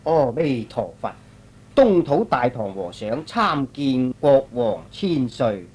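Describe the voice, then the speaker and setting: A man's voice: medium pitch, big pitch swings, slow, a little mellow, slightly rough, voice pushed down, full character voice. A man playing a pious, humble monk in a Cantonese radio drama, speaking formally and deferentially. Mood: humble, calm. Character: pious elderly Tang monk, humble and calm.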